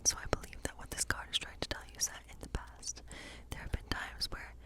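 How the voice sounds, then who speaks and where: A woman playing a gentle witch in an ASMR tarot role play, speaking in a soft, breathy, unhurried whisper. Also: natural voice. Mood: gentle, playful. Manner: gentle, unhurried, softly musing. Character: friendly cottage witch woman reading tarot by night.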